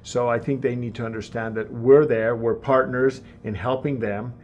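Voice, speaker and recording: A man interviewed as an incoming high school principal, earnest, often repeating words as he starts a sentence. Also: steady tone, moderate pace, a little mellow, muffled recording. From Tanzania and Mexico. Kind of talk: interview answers. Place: school office.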